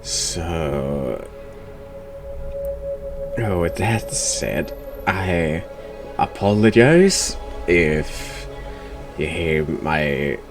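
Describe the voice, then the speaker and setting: A man congested with a cold, hosting a horror game playthrough in a hushed ASMR style, halting and sometimes dropping into whispers. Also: low-pitched, sing-song, music in the background. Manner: slow, halting, drops into whispers. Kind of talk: hushed ASMR-style game commentary and read-aloud narration.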